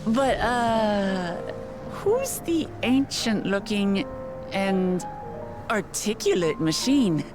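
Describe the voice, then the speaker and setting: A woman's voice: medium pitch, very animated, over music. A woman playing a kind, earnest young heroine in a video game, speaking sincerely and reassuringly. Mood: curious. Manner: simple, sincere, deliberate phrasing.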